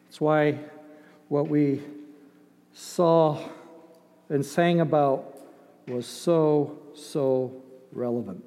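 A man's voice: a little high, steady tone, very slow, pauses often, warm tone. An older man who grew up in Ethiopia delivers a sermon in an emphatic yet conversational manner, with dramatized asides and rhetorical questions. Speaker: preacher. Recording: long hall reverb.

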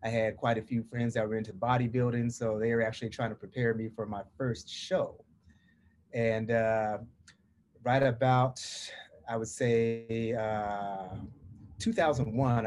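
A middle-aged American man speaks with a Southern drawl at a medium pitch. He tells his own story as a podcast guest, over faint background noise. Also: darkish tone. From Portland, Oregon, United States. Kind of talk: personal storytelling in an interview.

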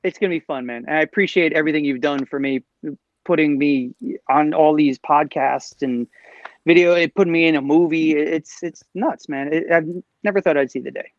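A man's voice: on the high side, normal speed, no room sound, muffled recording. A man in his late forties, a comic shop owner, chatting as a podcast guest with a wry, joking manner. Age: 40s. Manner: joking, wry, acts out customers. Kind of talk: casual interview chat.

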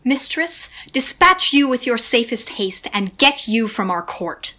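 A woman's voice: on the high side, lively intonation, unhurried, bright, forceful tone. A woman playing a stern, wrathful usurping duke in a Shakespearean radio play, with an imperious, formal manner. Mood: commanding anger.

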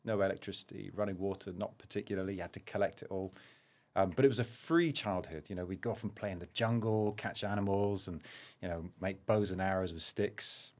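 A middle-aged man with a slight London accent, a surgeon guest telling stories of his childhood in a relaxed, self-deprecating way. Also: deep voice, fairly quick. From Zaire and England. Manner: anecdotal, self-deprecating, with frequent ums. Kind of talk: relaxed conversational storytelling.

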